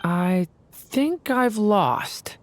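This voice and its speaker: A woman voicing a gentle, earnest young dragon boy in human form in a video game. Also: medium pitch, expressive, drawn-out words, slight background noise. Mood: resolved. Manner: earnest, hesitant, mild. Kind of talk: video game character acting.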